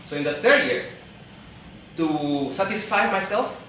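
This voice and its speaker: A man from Peru in his thirties, speaking English with a Peruvian Spanish accent, gives a live talk to an audience. He speaks haltingly, often repeating and restarting words. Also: on the high side, lively intonation, a bit bright, heavy reverb, recorded from a distance, slight background noise, low-quality recording. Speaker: event speaker. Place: event hall.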